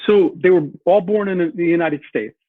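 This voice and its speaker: Earnest guy on a podcast, husky voice, tinny phone-line sound, dry. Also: high-pitched, moderate pace, no echo. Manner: earnest, repeats words while thinking. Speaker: guest. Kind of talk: podcast interview, historical explanation.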